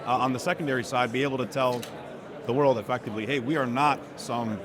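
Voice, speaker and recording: A man speaks quickly at a middle pitch, like a business owner casually explaining his product line. He uses informal sales jargon, with heavy convention crowd noise around him. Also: steady tone. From Turkey or of Turkish family. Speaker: optics company owner at a trade show booth. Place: trade show floor. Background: loud crowd chatter.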